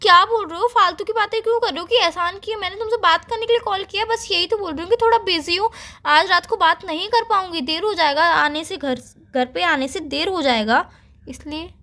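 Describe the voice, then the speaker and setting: A woman's voice: high voice, unhurried, bright, forceful tone, full character voice. A young woman playing a busy, impatient girlfriend in an everyday phone-call drama, defensive and insistent. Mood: indignant, defensive. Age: young adult.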